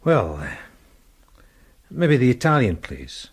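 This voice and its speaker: An actor playing a wistful middle-aged policeman in a radio drama, gently coaxing and hesitant with his estranged wife. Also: medium pitch, wildly swooping pitch, slow, slightly rough, heavily acted. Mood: hesitant, earnest. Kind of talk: naturalistic radio drama acting.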